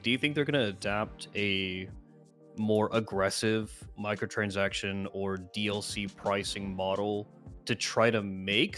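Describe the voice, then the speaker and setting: A man's voice: lowish voice, fairly bright tone. A man who makes gaming videos, talking casually and emphatically about a video game. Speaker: gaming YouTuber.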